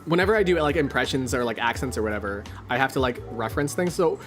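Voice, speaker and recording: Brief: casual man streamer from Canada, General American accent, lively and rambling. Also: medium pitch, fast, soft background music. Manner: rambling, heavy use of "like".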